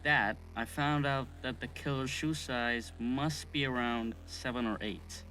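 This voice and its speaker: A man's voice: mid-range voice, steady tone, measured pace, pushed and bright. Man acting an earnest autopsy doctor, noisy recording. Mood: matter-of-fact, sympathetic. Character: earnest male autopsy doctor, talking while he works. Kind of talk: amateur audio drama acting. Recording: background noise.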